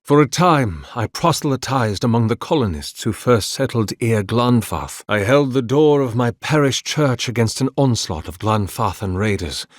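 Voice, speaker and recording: An actor playing an ancient, weary, devout priest in a video game, speaking formally and eloquently with solemn dignity. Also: male voice, medium pitch, animated, normal speed, hardly any pauses, no echo, studio quality, close to an everyday voice. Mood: dignified, reflective. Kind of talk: dramatic video game dialogue.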